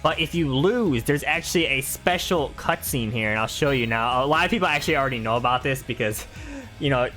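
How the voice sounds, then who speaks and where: Guy chatting over his wrestling game, middle pitch, chuckly, bit of music behind. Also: expressive, fairly quick, few pauses, bright, forceful tone. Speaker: video game commentator. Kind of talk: casual gameplay commentary.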